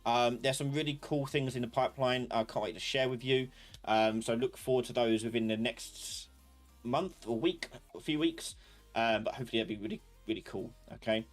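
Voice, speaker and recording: A man's voice: mid-range voice, normal speed, faint music behind. A man hosting a live online class, talking informally and thinking aloud as he rambles through his points. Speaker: live class instructor and channel host. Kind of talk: casual live-stream tutorial talk.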